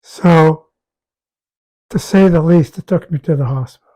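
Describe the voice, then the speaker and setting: A man with a raspy, hoarse voice talking casually about his health, halting and repeating words as he goes. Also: a little high, mellow. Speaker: guest sharing a health update. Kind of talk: casual conversation.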